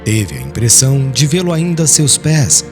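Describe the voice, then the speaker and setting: A man's voice: mid-range voice, moderate pace. A man with a Brazilian accent reading dramatic, solemn audiobook narration, with music playing behind him. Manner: solemn, expressive.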